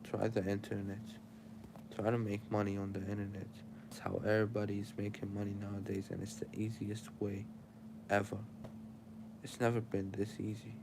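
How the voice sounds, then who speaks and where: A man's voice: fairly low pitch, monotone, normal speed, background noise. A young man with an Arabic accent, sleepy and tired, preaching casual life advice straight to his livestream chat.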